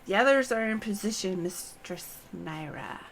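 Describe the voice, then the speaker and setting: A woman's voice: medium pitch, lively intonation, normal speed, vocal fry, noisy background. A woman voicing a deferential male temple knight squire in a fantasy game, polite and formal. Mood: dutiful. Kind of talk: read-aloud fantasy game dialogue.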